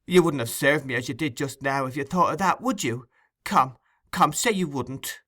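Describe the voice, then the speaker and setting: A man's voice: on the high side, lively intonation, fairly quick, bright, forceful tone, voice pushed up. A man voicing a tired, tender young woman pleading with a harsh partner in a storybook reading.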